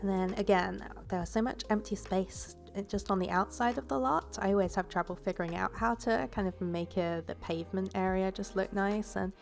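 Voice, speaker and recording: A woman's voice: medium pitch, a little fast, vocal fry, few pauses, soft background music. A woman with a stuffy voice from a cold, rambling casually through a voice-over about her build, with fillers and self-corrections. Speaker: game builder and YouTuber. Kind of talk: relaxed voice-over commentary on a building video.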